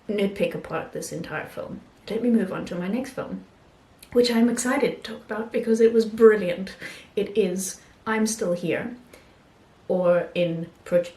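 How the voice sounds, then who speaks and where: A woman talking casually about films she has watched, pausing often with ums and restarting her sentences. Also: medium pitch, darkish tone, echoey room. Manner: hesitant, many ums and restarts. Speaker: film vlogger. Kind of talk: casual film review talk.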